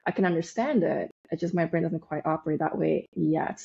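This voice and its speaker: Easygoing guest lady from peru, spanish first language, low mellow voice, kinda lo-fi. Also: steady tone, fairly quick, creaky, slightly rough. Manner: warm, easygoing, laughs readily. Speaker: guest, online business manager. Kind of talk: casual interview chat. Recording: dull lo-fi sound.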